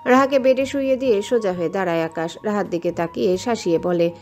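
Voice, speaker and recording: A woman's voice: mid-range voice, fast, a little mellow. Woman narrating a romance story over music. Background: background music.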